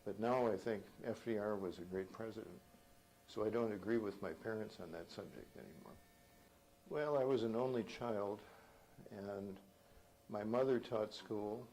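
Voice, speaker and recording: An older man from Minnesota in his seventies tells his memories in a relaxed, reflective way, pausing and restarting his sentences. His voice sits low and carries a gravelly rasp. A faint hiss runs underneath. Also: low-quality recording. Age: 70s. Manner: unhurried, reflective storytelling with small restarts. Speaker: oral history interviewee. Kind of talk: reminiscing oral history.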